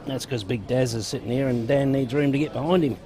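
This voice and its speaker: Dry-witted Australian co-commentator. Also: male voice, mid-range voice, quick pace, crowd noise. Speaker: pool co-commentator. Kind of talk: relaxed live commentary.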